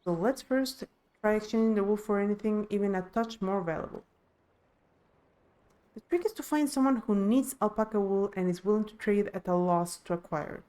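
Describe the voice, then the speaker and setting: A woman voicing a thoughtful, steadfast young villager in a fantasy game, measured and explanatory. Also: mid-range voice, dark tone, lightly acted. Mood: earnest, steady.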